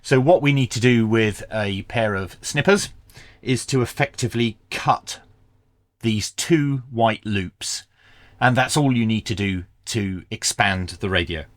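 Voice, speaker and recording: A man with a fairly low voice narrates a hands-on gear review. He works through instructions methodically, one step at a time, like a practical tutorial. The recording is very dry and close, with hardly any room sound. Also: unhurried, no echo. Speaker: presenter of radio equipment reviews.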